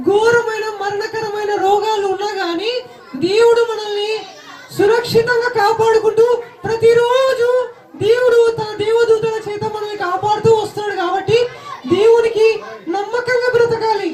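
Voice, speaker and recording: A puppeteer voicing a childlike puppet, exhorting children and asking them questions at a Christmas puppet show. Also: very high-pitched. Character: squeaky childlike puppet preaching cheerfully to children. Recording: echoey hall, crowd and noise behind.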